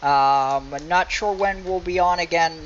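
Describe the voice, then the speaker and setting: A man's voice: fairly high pitch, measured pace, muffled recording, a little hiss or hum. A man casually commentating over a video game as he live streams.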